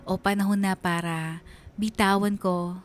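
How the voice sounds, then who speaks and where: Sorrowful woman narrating, medium pitch. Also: steady tone, measured pace, a little hiss or hum. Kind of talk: scripted first-person letter narration.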